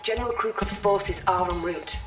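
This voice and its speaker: A woman playing an earnest, friendly military lieutenant in a video game, briefing in a clear manner. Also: mid-range voice, normal speed, close to an everyday voice. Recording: slightly distant, music behind.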